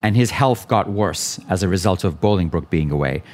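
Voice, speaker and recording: A man's voice: low voice, animated, brisk, darkish tone. A male theater director explaining a scene casually.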